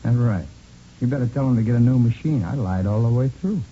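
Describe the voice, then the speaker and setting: An actor playing a talkative, cocky murder suspect in a vintage radio crime drama, casual and rambling, with repeats and restarts. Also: male voice, mid-range voice, brisk, warm tone, lightly acted. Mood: nonchalant. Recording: muffled, noisy vintage radio recording.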